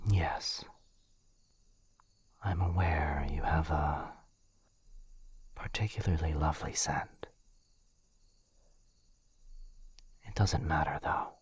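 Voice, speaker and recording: A man playing a gentle, protective aristocratic vampire lord in an intimate ASMR roleplay, speaking in a hushed, husky near-whisper with hesitant, reassuring pauses. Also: a bass voice, full character voice. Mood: pained, hesitant. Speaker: voice actor in audio roleplay.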